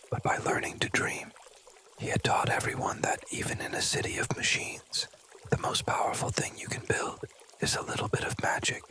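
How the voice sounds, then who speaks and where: Whispered ASMR children's storyteller. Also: bright, forceful tone. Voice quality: breathy, unvoiced whisper.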